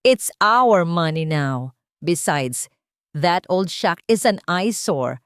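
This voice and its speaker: A woman voicing a spoiled, callous rich young woman in an audio story, shrill and brazenly dismissive. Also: expressive, takes it slowly, clear, resonant tone, no echo, clean studio sound. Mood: brazen contempt. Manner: brazen, dismissive, mixing in English.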